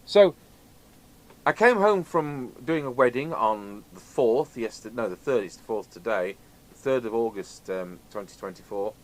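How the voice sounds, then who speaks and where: A man's voice: mid-range voice, expressive, moderate pace, rough recording. A man chatting casually and ramblingly as he presents radio gear in an unscripted video. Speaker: video host and radio technician. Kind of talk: casual unscripted product chat. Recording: clear background noise.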